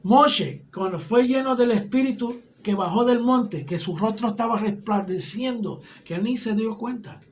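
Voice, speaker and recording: Un hombre con acento puertorriqueño que enseña una clase bíblica, explicando paso a paso y preguntando "¿ok?" a menudo. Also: male voice, high voice, normal speed, few pauses, slight background noise. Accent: Puerto Rican Spanish. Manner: explanatory, often checks in with "¿ok?". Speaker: Bible teacher. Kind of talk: teaching a Bible class.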